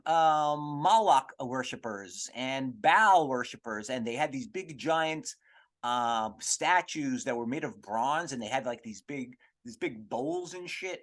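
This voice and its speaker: A man's voice: medium pitch, fairly bright tone. Rambling man hosting a casual comedic podcast. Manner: rambling, halting, crude slang. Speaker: podcast host.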